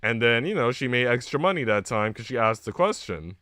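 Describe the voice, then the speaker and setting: A man's voice: mid-range voice, animated, fairly quick, sharp tone, no echo, studio quality. A man live streaming in a slangy, conversational way, chatting casually as he plays through a visual novel. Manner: slangy, conversational, often addressing listeners as bro and dude. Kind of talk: casual chat while reading a visual novel aloud. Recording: music behind the voice. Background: game music.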